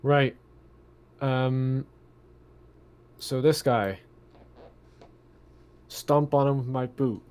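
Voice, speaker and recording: A man chatting casually as a player in a tabletop game, halting and tentative. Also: medium pitch, steady tone, a little mellow, clear, resonant tone.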